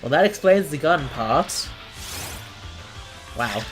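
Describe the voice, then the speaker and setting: An Australian man hosting a live stream, chatting casually with viewers and often pausing to search for the right word. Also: a little high, animated, fairly bright tone, soft background music. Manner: chatty, searches for words, self-deprecating.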